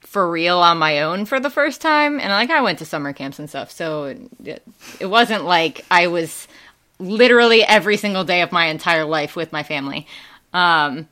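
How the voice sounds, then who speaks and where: A woman's voice: lowish voice, lively intonation, normal speed, sharp tone. A young woman with a Wisconsin accent, chatting casually as a podcast guest and rambling with lots of fillers and laughs. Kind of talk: casual chat.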